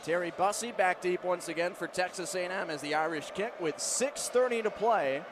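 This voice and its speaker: Casting: man, American accent, very high-pitched dramatic football radio play-by-play caller, over noisy stadium ambience. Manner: dramatic, swings from measured detail to excited calls. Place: stadium broadcast booth. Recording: live stadium radio broadcast, loud crowd noise. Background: loud stadium ambience.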